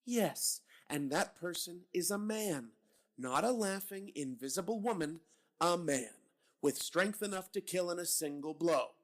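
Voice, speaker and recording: An actor playing a blunt, sarcastic police captain. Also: male voice, high voice, expressive, unhurried. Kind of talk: old-time radio drama reading.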